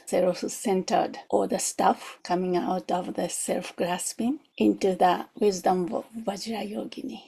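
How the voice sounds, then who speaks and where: Slow-paced woman guiding a meditation. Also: medium pitch, vocal fry, dark tone, breathy edge. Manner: reflective, questioning, short spaced phrases. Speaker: meditation teacher. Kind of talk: guided meditation, gentle instruction.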